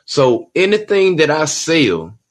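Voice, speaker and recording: A man's voice: a little high, big pitch swings, slow. A man hosting his own podcast, talking in an emphatic, streetwise, preachy way and tossing in "you know what I'm saying" over and over. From Georgia, USA. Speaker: podcast host. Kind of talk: solo podcast monologue.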